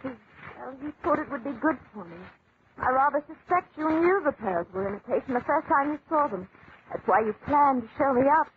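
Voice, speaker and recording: A young woman of the theatre in an old radio play. She is apologetic and softly hesitant, yet keeps a quiet dignity. The recording is thin and slightly noisy. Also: sits high, animated, normal speed, phone-quality sound. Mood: quietly dignified. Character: young stage actress, modest, apologetic yet quietly plucky. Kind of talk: 1940s radio acting.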